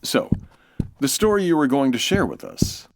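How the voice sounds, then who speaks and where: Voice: medium pitch, sing-song, moderate pace. An actor playing a polite, flustered announcer in an old-time radio comedy, with a courteous, hesitant way of talking. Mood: polite, bemused. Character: polite, flustered radio show announcer visiting Watson's house.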